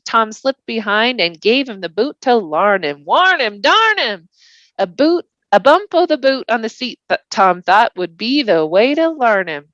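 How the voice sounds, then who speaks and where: A woman's voice: on the high side, a bit bright, no echo, muffled recording. A woman voicing a humble young male hobbit with folksy rustic grammar, for a storybook.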